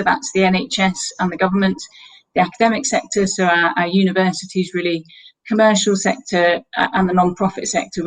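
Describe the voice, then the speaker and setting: A woman's voice: mid-range voice, poor audio. A woman, not Welsh-accented, presenting report findings online and talking with many fillers and restarts. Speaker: guest presenter.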